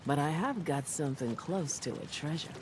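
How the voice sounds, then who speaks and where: An actress playing an old, weary island widow in a video game, telling things in a solemn, folksy, deliberate way with a sly streak. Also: female voice, deep voice, animated, very noisy. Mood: wry, warm. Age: older. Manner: slow, deliberate, folksy storytelling. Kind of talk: dramatic video game dialogue. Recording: loud music over the voice.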